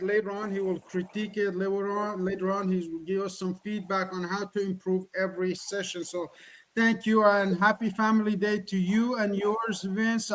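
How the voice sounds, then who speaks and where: A man's voice: high voice, rough recording. A middle-aged man from the Middle East, not a native English speaker, giving an earnest online presentation with rhetorical questions. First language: Kurdish or Persian. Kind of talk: online presentation, motivational pitch.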